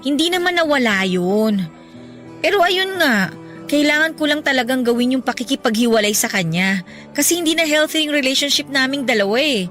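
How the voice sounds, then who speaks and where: A woman's voice: sits high, expressive, brisk, fairly bright tone, faint music behind. A woman with a Filipino accent playing a stressed young breadwinner who confides her heartbreak plaintively in a Tagalog radio drama. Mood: wistful, burdened.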